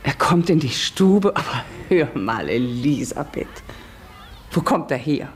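An actress playing a village schoolteacher in a German radio drama, articulate and measured, stern yet gentle. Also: female voice, sits low, lively intonation, slight background noise. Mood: probing, gentle. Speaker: actor in a radio play. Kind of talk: German radio drama, narration and classroom dialogue.

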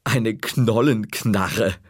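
A man's voice: on the low side, very slow, dry recording, clean studio sound. A man voicing a patient but exasperated mother in a storybook reading, chiding in a raised voice.

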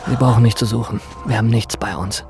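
Ein Schauspieler spielt in einem Krimi-Hörspiel einen nervösen, zugleich beruhigenden Banker. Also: male voice, fairly low pitch, steady tone, fast, over music, natural voice. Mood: uneasy. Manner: hesitant, reassuring. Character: nervous but reassuring banker stranded in the dark. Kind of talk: German radio crime drama acting.

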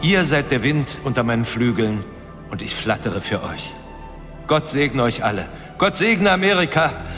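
Actor as male film director, very animated pitch, self-important, theatrical, defensive, radio drama, clear music behind. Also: normal speed, close to an everyday voice. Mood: pompous, gushing. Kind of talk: German radio drama acting.

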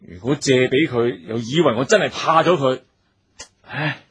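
An actor playing an anxious, easily flustered wealthy husband in a Cantonese radio drama, agitated and given to sighing and stammering. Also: male voice, medium pitch, normal speed, fairly bright tone, husky. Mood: troubled.

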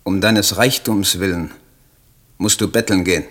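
Troubled poet type, low voice, solemn and halting, old school literary radio drama, very declamatory. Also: male voice, fairly bright tone. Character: troubled idealistic German poet reading his own letters. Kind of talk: literary radio play acting.

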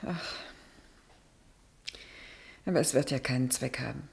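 A woman's voice: a bass voice, unhurried, vocal fry, darkish tone, full character voice. An actress playing an anxious, pleading middle-aged governor's wife and mother in a German radio drama. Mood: fearful, uneasy.

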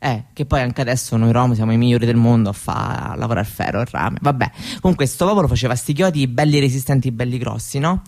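A woman playing a candid, proud seventeen-year-old Roma girl in a radio monologue, with a Roman Italian accent and halting colloquial talk that often seeks agreement. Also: very deep voice, a little mellow. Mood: storytelling, candid. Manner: colloquial, halting, seeking agreement with little tag questions. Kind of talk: conversational theatrical monologue for radio.

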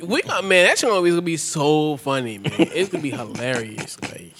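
A man in his thirties riffs through a laid-back sports chat, slang rolling out loose and easy. His pitch sits a touch high and swings widely as he laughs between lines. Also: expressive, fairly quick, background noise. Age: 30s. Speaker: podcast co-host. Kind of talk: casual sports banter.

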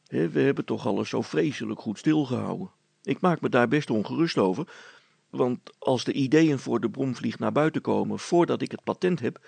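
A man's voice: medium pitch, vocal fry, a little mellow, slightly rough, dry recording. A man voicing a plain-spoken, worried and sceptical amateur inventor in a storybook, talking in a colloquial, grumbling way.

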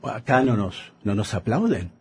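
An actor playing a wisecracking, naive working-class sidekick in a radio comedy, with a colloquial Argentine accent and a habit of chipping in. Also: male voice, fairly low pitch, sing-song, measured pace, a little hiss or hum. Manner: colloquial, interjecting, teasing. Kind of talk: comedic radio play acting.

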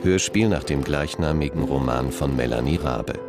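A man's voice: rumbling low, moderate pace. A man acting as announcer, reading the titles and credits of a radio play. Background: plucked guitar music.

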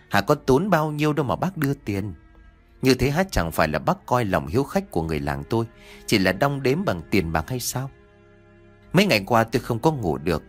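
A man voicing an older, hospitable village chief who speaks earnestly and plainly in a told story. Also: mid-range voice.

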